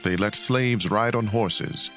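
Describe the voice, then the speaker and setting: A man reading scripture as a dramatized audio Bible narration, in a measured and expressive way. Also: on the low side, faint music behind.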